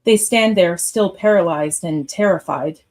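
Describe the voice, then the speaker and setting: A woman's voice: lowish voice, a little slow, darkish tone. A woman reading stage directions as the narrator of a live radio theatre script read.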